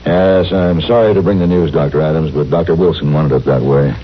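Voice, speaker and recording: An actor playing a courteous, businesslike city doctor, speaking in a measured, formal way in a 1950s radio western. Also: male voice, very deep voice, slightly rough. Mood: earnest, persuasive. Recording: muffled, hissy old radio recording.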